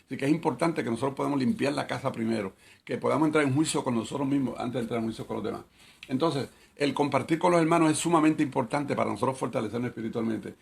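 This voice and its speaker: A man's voice: medium pitch, quick pace, creaky, slightly rough. A middle-aged man giving a conversational Bible study sermon, repeating his points and asking rhetorical questions. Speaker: Bible teacher.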